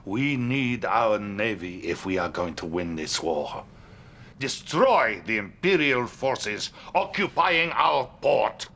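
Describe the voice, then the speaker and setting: An actor playing a shrewd, self-assured Soviet premier with a Russian accent, speaking in an authoritative, deliberate, theatrical manner in a video game cutscene. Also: male voice, fairly low pitch, expressive, bright, forceful tone, slight background noise, heavily acted. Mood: grim, resolute.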